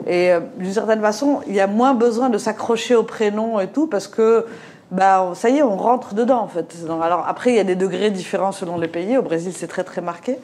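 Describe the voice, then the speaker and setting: A woman's voice: mid-range voice, a little fast, a little mellow. Hesitant woman from Morocco, slight accent. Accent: slight Moroccan French accent. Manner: hesitant, many fillers and restarts. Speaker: panel speaker. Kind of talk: discussion talk.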